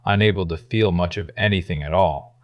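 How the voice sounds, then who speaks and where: A woman voicing a guarded male soldier, now a household guard, speaking low, quiet and weary. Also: fairly quick, no room sound.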